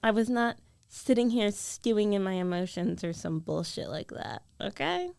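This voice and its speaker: A woman performs a young female roommate who is jealous and secretly smitten. She speaks in an emotional, conversational way, protesting and pleading like a girlfriend-roleplay character. Her mid-pitched voice sits close to the mic with no room sound at all. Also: lively intonation, moderate pace, gravelly, a little mellow, no echo. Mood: defensive. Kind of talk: girlfriend ASMR roleplay acting. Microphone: close studio microphone, dry.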